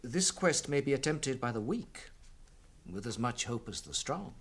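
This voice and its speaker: An actor with a British accent playing a solemn, wise ancient elf lord in a radio fantasy drama, speaking in formal, weighty oratory. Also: male voice, medium pitch, sing-song, a little slow, fairly bright tone, breathy edge, full character voice.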